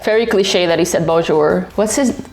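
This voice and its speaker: A woman from Belgium giving relaxed, chatty commentary as a cultural consultant, with frequent hesitations. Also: fairly low pitch, moderate pace, gravelly, raised voice, very noisy. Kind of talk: casual commentary.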